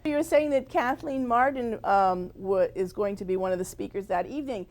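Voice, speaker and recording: A woman's voice: mid-range voice, normal speed, darkish tone. A woman speaking as the host of an interview show, in a conversational style.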